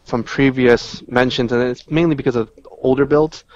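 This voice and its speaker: A man's voice: medium pitch, steady tone, normal speed, no room sound, dull audio. Man explaining game details, dry close sound. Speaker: guest player giving game analysis. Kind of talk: casual unscripted game discussion.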